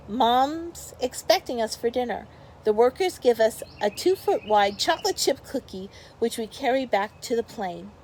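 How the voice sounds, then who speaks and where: A woman with a fairly high voice reads a storybook aloud as a teacher would for a young class. Her delivery is expressive, and she steps out of the text to explain things to the children. Noticeable background noise sits behind her voice. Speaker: teacher reading a picture book aloud to pupils.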